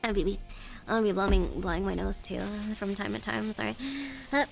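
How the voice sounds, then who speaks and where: Congested, gravelly, giggly woman streamer. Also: medium pitch, steady tone, moderate pace, music in the background, rough recording. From United States. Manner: giggly, rambling, stumbles over words. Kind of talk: casual live-stream chat.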